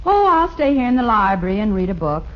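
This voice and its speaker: A woman acting a lively, level-headed radio heroine. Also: medium pitch, fast, natural voice. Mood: soothing, practical. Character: level-headed female companion and mock-earnest storyteller nurse. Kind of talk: 1950s radio comedy acting. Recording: muffled, noisy 1950s radio recording.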